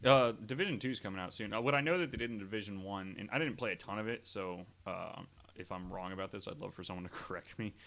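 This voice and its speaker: A man co-hosting a podcast in casual chat, joking around and quick to agree. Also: on the low side, a bit bright. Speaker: podcast co-host.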